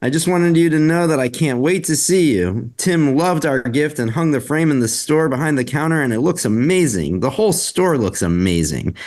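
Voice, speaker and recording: A man's voice: on the high side, animated, moderate pace, few pauses, darkish tone, close to an everyday voice. A man playing an affectionate, caring young boyfriend at a script table read. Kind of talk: amateur table read dialogue.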